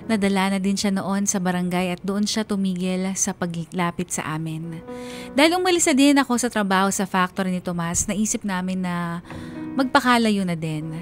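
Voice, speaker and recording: A regretful mother telling her own story in a radio drama, voiced by a woman. She has a medium-pitched voice and an earnest, confessional delivery, with faint music underneath. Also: moderate pace, lightly acted. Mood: regretful, reflective. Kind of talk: dramatic first-person radio narration.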